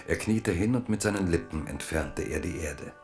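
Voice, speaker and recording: Guy narrating a literary radio play, really deep voice, soft music underneath. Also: normal speed.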